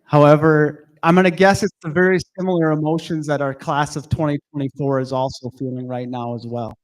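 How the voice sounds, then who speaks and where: A man's voice: fairly high pitch, steady tone, fairly quick, low-quality recording. A man presenting at a school awards night, addressing parents and students directly into a podium microphone. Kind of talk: announcing at a school ceremony.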